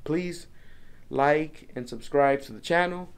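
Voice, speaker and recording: A man's voice: a little high, slow pace, clean tone. A young man from El Salvador, talking earnestly to camera from his home office, often repeating and restarting his phrases. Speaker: business vlogger. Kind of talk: casual vlog talk to camera.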